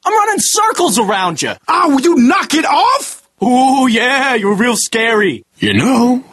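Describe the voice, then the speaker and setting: An actor playing a cocky young loudmouth fighter in a video game, jeering at his enemies. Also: very high voice, very animated, a little slow, breathy edge, dry recording, studio quality, heavily acted. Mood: cocky, irritated. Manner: brash, jeering trash talk.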